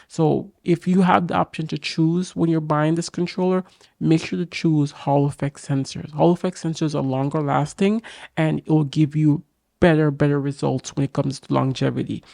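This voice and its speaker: A young man with a raspy voice from a cold, casually and eagerly reviewing a game controller to camera in a small home studio. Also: on the high side, steady tone, normal speed, darkish tone, dry recording. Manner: enthusiastic, talks straight to camera, many fillers. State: getting over a cold. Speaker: tech reviewer. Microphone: boom mic on arm.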